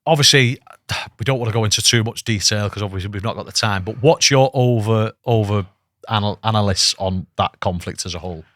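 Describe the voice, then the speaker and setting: A man hosting a podcast interview, asking halting questions full of fillers. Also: on the low side, fairly bright tone, dry recording, studio quality. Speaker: podcast host.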